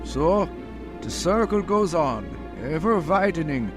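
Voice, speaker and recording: An actor playing a grave, insistent foreign professor who hunts vampires, speaking deliberately in vintage radio drama style. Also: male voice, a little high, expressive, music in the background. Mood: urgent, solemn. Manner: deliberate, rhetorical questioning.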